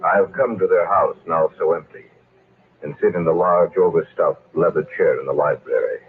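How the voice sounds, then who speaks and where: An actor playing a grave man narrating a haunted tale in solemn, measured, portentous old-time radio style. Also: sits low, husky. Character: grave man reading a dead friend's diary aloud. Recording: muffled, noisy old radio recording.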